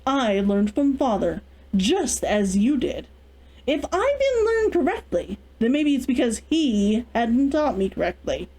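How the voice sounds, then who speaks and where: A woman acts out a proud young heiress in a storybook tale. Her voice is high and very animated. She fires back clipped, indignant retorts and refuses to give ground. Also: unhurried, rough recording. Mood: defiant.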